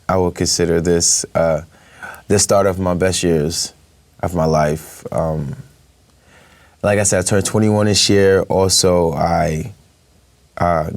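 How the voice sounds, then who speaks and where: A young man speaks, his voice sitting low. He talks casually as an interview guest, hesitating often with fillers and laughing easily. His voice sounds dry and close. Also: steady tone, slow pace, dry recording. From Brooklyn, New York. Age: young adult.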